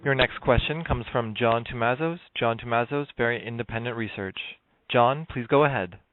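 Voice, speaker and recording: A man's voice: medium pitch, no room sound. Formal male operator on a phone line. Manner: formal, measured. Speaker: conference call operator. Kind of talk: scripted announcements. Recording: dry, thin phone line.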